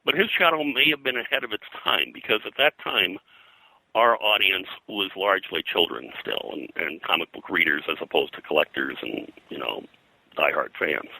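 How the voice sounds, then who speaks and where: An older man reminiscing as an interview guest in a halting, digressive way, often clearing his throat. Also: low voice, sharp tone, dry recording, poor audio. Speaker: interview guest, comics historian.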